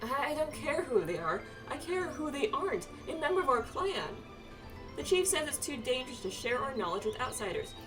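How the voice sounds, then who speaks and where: A woman's voice: high-pitched, big pitch swings, brisk. A woman voicing a nervous, flustered young male astrologer in a game, hesitant and stammering. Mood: anxious, firm. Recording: distant mic, game music behind.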